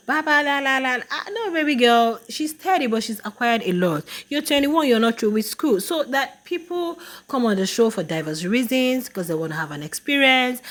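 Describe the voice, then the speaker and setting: A woman vlogger casually reacting to a reality show, talking to her viewers and acting out what others said in a raised voice. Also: a little high. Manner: animated, quotes others in a raised voice.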